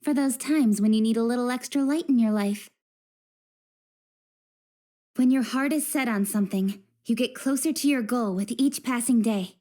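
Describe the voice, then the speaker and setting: An actress playing a principled, impatient but sincere young woman official in an English game dub, speaking in a crisp, earnest, assertive way. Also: fairly high pitch, moderate pace, mellow, close to an everyday voice.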